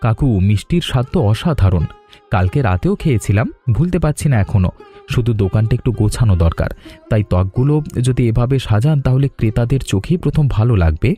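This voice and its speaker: A man voicing a courteous, reassuring young traveller in a cartoon, speaking politely and earnestly in measured phrases. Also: medium pitch, lively intonation, warm tone, lightly acted. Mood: friendly, helpful. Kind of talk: cartoon drama dialogue. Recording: music under the voice.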